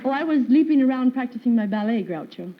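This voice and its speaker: A woman's voice: sits high, lively intonation, fast. A woman in her twenties from Indiana, a game show contestant telling a story politely at a stand mic in a television studio. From Fort Wayne, Indiana. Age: 20s. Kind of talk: unscripted chat. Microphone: stand mic on stage. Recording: dull 1950s TV sound with faint hiss.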